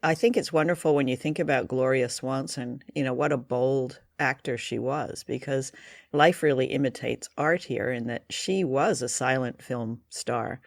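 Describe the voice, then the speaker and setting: A man's voice: mid-range voice, normal speed, creaky, no echo, studio quality. A man hosting a conversational discussion show, talking appreciatively and with enthusiasm. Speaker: podcast host leading discussion. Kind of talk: conversational film and radio discussion.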